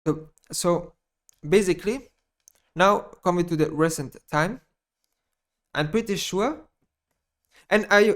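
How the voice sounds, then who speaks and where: Rambling German-accented man, slightly high voice. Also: fairly bright tone. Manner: rambling, halting, repeats phrases, frequent restarts. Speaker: gaming YouTuber. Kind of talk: unscripted personal monologue.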